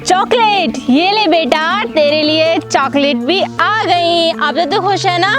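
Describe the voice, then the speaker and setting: Woman acting vain young mother, very high voice, theatrical exclamations, moral-story skit, music underneath. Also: slow pace. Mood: doting. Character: vain, self-centred young mother with magic crown.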